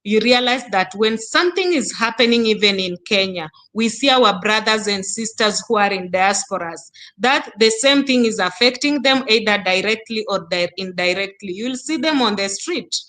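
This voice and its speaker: Earnest bright-voiced woman. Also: mid-range voice, animated, moderate pace, few pauses. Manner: earnest, speaks with frequent fillers and restarts. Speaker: youth foundation guest. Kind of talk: online panel interview. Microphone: video call.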